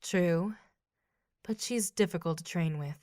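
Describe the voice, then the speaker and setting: A woman playing a cool, scheming, combative villainess in an audio drama, speaking in a measured way. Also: lowish voice, heavy background noise, natural voice.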